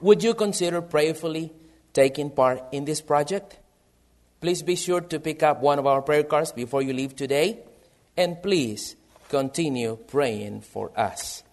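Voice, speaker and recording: A man from El Salvador with a Salvadoran Spanish accent, a missionary pastor speaking in an earnest, measured way in a church service. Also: a little high, a little mellow. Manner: earnest, measured, reads from prepared notes. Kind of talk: missionary church presentation and sermon.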